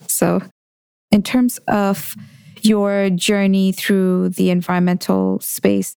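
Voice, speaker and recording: A woman from Kenya hosting a podcast, reading a measured introduction and then asking her guest questions. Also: lowish voice, creaky, mellow, clean tone, no room sound. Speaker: podcast host. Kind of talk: podcast interview.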